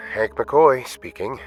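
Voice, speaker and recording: A man's voice: lowish voice, a bit bright, husky, heavily acted. A man playing a weary, formally spoken scientist hero taking a phone call in an audio drama.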